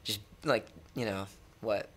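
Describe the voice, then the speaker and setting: A man's voice: fairly low pitch, unhurried. A young man with an American accent chatting casually as an interviewed musician, in an informal, hesitant, repetitive way. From United States. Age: young adult. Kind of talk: casual chat. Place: indoor music studio. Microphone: stand microphone.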